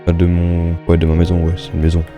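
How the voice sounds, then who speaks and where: A man's voice: sits low, monotone, fast talker, music in the background. A young man giving casual, slangy Minecraft let's-play commentary, chatting and thinking aloud as he plays. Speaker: gaming YouTuber.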